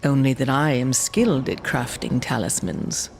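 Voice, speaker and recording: Old mystic shaman from a fantasy game, sad and measured, gravelly edge. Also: extremely low pitch, expressive, a little mellow, a little hiss or hum, full character voice. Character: sorrowful old shaman of a dying giant race. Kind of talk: dark fantasy game acting.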